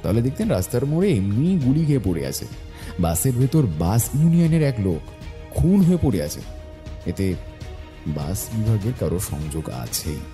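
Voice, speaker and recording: Clever detective for an audio story, low mellow voice, thinking out loud, music behind. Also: male voice, very animated. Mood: pondering. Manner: measured, reasoning aloud with thoughtful hums. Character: shrewd, self-assured male private detective, musing and dry.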